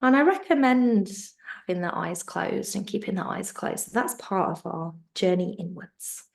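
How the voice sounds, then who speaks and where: A woman teaching yoga nidra, guiding a relaxation in a soft, soothing way that sometimes sinks to a whisper, over a video call. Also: mid-range voice, expressive, measured pace, gravelly. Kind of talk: guided relaxation instruction.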